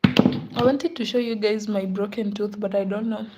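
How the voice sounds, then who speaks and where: A tired, unwell woman in a casual live stream, greeting viewers and chatting softly with frequent laughs, sometimes dropping to a whisper. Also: medium pitch, fairly even intonation, normal speed, heavy background noise. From Kenya. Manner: soft-spoken, laughs often, drifts into whispers. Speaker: livestreamer.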